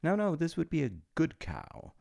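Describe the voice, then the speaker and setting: Man playing a gravelly, animated, chummy afterlife agent. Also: on the high side, normal speed, exaggerated voice. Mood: upbeat pitching. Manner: informal salesman-like patter with hesitations and chuckles. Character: chummy, rule-bending afterlife reincarnation agent, male supernatural being. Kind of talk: second-person comedic audio roleplay.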